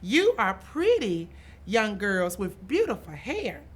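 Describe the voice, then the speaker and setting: A woman voicing a friendly doctor in a children's story, speaking in a calm and reassuring way. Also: mid-range voice, slow pace, heavily acted. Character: calm, friendly doctor soothing a little girl.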